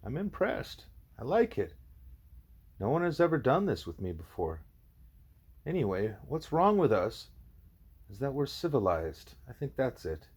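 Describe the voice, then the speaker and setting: A man's voice: medium pitch, very animated, a little slow, pauses often, darkish tone, breathy edge, voice pushed up, heavily acted. A man voicing an earnest, inquisitive young girl who thinks aloud in short emphatic statements, in an audiobook. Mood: enthusiastic, pondering. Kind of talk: audiobook character dialogue.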